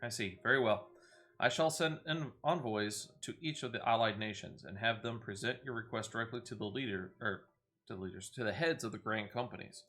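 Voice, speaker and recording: A man voicing a courteous leader of a fantasy order in a video game, speaking with measured, archaic formality. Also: fairly low pitch, fairly bright tone. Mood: cautious, diplomatic.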